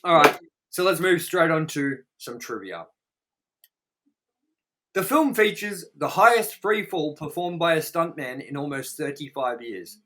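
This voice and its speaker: A man's voice: a little high, very animated, measured pace, pushed and bright. A man chatting casually as co-host of a film review show, blunt and sweary, repeating words for emphasis.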